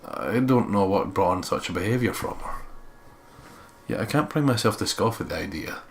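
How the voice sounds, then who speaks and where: Low-voiced man reading a game aloud. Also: sing-song, moderate pace, gravelly, a little hiss or hum. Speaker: let's play host. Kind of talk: reading a visual novel aloud with joking asides.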